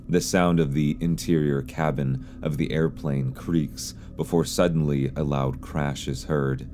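A man reading scripted explainer narration in a measured, serious way, as a video narrator. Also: rumbling low, mellow. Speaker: YouTube narrator.

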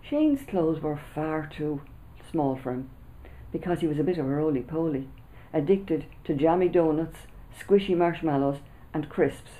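A woman, an author reading her children's book aloud with lively storytelling, seated indoors before a camera. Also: deep voice, normal speed, low-quality recording. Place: small indoor room before a backdrop.

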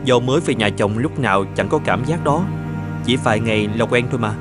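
A man voicing an earnest, devoted young bridegroom in an animated folktale, questioning and polite. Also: medium pitch, fairly quick, close to an everyday voice. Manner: earnest, questioning, old-fashioned polite speech. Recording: music under the voice.

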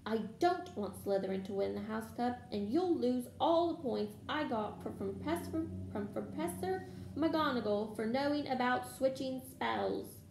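A woman's voice: fairly high pitch, animated, a little slow, creaky, darkish tone, echoey room, rough recording. A woman voicing an indignant, bossy young schoolgirl witch, scolding and snapping in a storybook reading. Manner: hissing, snapping.

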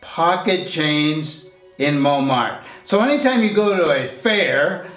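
Chatty older male vlog host, easy laugh, slightly high voice, echoey room. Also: animated, takes it slowly, fairly bright tone, faint music behind. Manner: jovial, chatty, laughs often. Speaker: host, interviewer. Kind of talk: casual interview and vlog talk. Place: artist's home studio, indoors. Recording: roomy echo.

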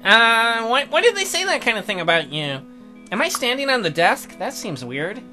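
A man's voice: very high-pitched, sing-song, moderate pace, bright, forceful tone, faint music behind, pitched above the performer's own voice, full character voice. An American man voicing an awkward, curious teenage schoolboy who talks hesitantly and stammers in a game.

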